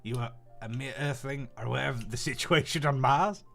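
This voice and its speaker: A haughty, eccentric alien queen, played by a man, speaking in a hushed, stilted and condescending way. The tone is mid-low with a bright edge, over faint game-style music from a visual novel. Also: brisk, creaky.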